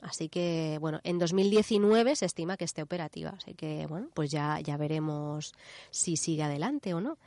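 A woman's voice: sits low, normal speed, mellow, no echo. Enthusiastic Spanish woman, dry close sound. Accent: Spanish from Spain. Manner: enthusiastic, chatty. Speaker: radio show co-host presenting a news and gadgets section. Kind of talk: informal radio chat.

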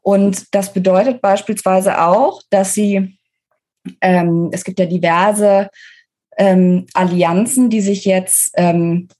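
A woman's voice: medium pitch, fairly even intonation, slow, mellow. A woman speaking as an interviewed author, explaining things analytically in a conversational expert style. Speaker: interviewed guest, book author.

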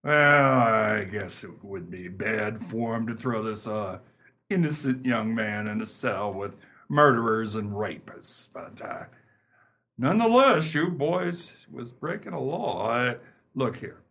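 Radio play police sergeant, acted by a man: folksy, hemming, easygoing authority, animated low-set voice. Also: takes it slowly, breathy edge, full character voice. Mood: folksy, stern. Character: folksy, lenient small-town police sergeant dispensing fines.

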